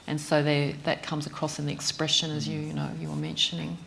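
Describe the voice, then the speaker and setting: Panelist, female, very low voice, soft-spoken, faint room hum. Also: monotone, a little fast, creaky, low-quality recording. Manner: gentle, reflective, fillers. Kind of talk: panel discussion.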